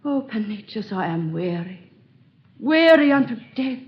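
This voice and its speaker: A woman's voice: fairly high pitch, very animated, measured pace. An actress playing a haughty, bored and cruel queen of ancient Egypt, in 1930s radio drama style with archaic, imperious diction. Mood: weary, bored. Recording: dull old radio recording.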